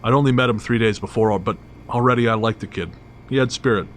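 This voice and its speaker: A man narrating a scripted first-person horror story in a measured, literary storytelling manner. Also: medium pitch, slight background noise.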